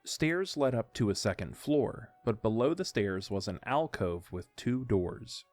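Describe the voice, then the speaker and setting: A man's voice: medium pitch, animated, measured pace, darkish tone, no echo, faint music behind. A man reading scripted story narration for an audio drama.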